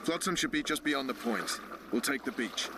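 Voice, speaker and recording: Actor as gruff military commander amid noise. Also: male voice, mid-range voice, lively intonation, moderate pace, lightly acted. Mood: gruff, businesslike. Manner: curt, commanding, sardonic. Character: gruff, crude, authoritative special forces commander. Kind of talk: video game acting.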